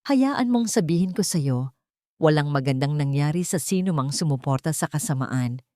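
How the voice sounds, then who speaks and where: A woman's voice: sits low, lively intonation, normal speed, dark tone, dry recording. A steadfast heiress and betrayed wife in an audiobook drama, voiced by a woman narrator. She sounds resolute with an undertow of sorrow, recorded dry. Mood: stern.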